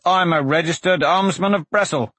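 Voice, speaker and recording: A man's voice: on the high side, moderate pace, a bit bright, no echo, pitched above the performer's own voice. A man voicing a brash, defiant young male prisoner, cocky and blunt, in a fantasy audiobook. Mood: cocky, indignant. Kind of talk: fantasy audiobook character dialogue.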